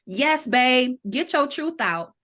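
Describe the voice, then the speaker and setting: A woman's voice: high-pitched, animated, takes it slowly, a bit bright. An American woman from Toledo talking casually on a podcast, outspoken and opinionated, with slangy, profane phrasing. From Toledo, United States. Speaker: podcast panelist.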